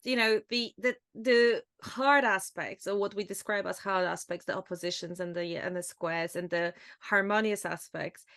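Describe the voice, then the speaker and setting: A woman's voice: medium pitch, steady tone, normal speed, hardly any pauses, fairly bright tone. A woman from Poland with a Polish accent, co-hosting a podcast in a casual, reflective conversational style. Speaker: astrology podcast co-host.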